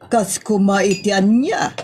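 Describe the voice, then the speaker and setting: A woman portrays an exasperated middle-aged auntie in a comedy drama. She speaks very slowly with an Ilocano accent, stretching words into exclamations. A faint noise sits behind her voice. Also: medium pitch, darkish tone, close to an everyday voice. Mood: solicitous.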